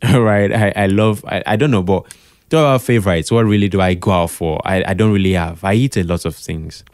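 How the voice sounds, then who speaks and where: A Nigerian man talking casually as an interview guest, expressive, slipping into Pidgin and repeating phrases for emphasis. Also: deep voice, a little fast, no room sound, studio quality. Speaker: podcast guest, radio drama producer.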